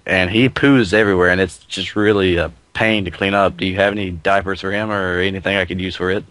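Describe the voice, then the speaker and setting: A man making a prank phone call in a deadpan, earnest-sounding manner over an internet voice call. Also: low voice, fairly quick, no room sound. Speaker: prank caller.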